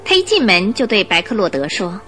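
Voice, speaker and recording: A woman narrating a radio drama in an expressive, measured, scripted storytelling style. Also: medium pitch, soft background music.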